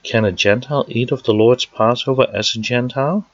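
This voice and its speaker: A man from the Netherlands with a Dutch accent, preaching a sermon and working through scripture verse by verse, pausing with fillers as he explains. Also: medium pitch, no echo. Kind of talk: sermon teaching. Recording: dry, dull lo-fi sound.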